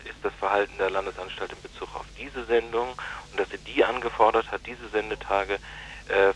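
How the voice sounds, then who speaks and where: Male radio interviewee, managing director type, low register, halting but formal explanatory delivery. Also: poor audio.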